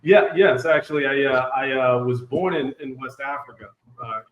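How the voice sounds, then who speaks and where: A man's voice: mid-range voice, normal speed. A man in his thirties speaking as an interview guest, answering conversationally and often repeating words as he goes. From Liberia, grew up in Ohio. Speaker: guest, financial services wholesaler. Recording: roomy, muffled, noisy sound.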